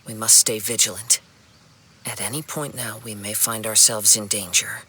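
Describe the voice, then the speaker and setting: A man playing a calm, perceptive young wandering swordsman in a video game, speaking in a measured, formal and earnest way. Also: on the low side, slight background noise. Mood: watchful. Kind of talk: video game cutscene acting. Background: game music.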